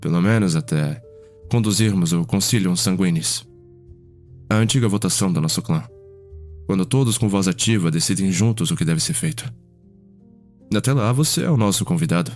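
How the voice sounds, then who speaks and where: A man with a Brazilian accent playing a courteous, reflective vampire who tells his clan's history in a measured ASMR roleplay. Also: deep voice, expressive, a little mellow, soft background music. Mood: courteous, welcoming.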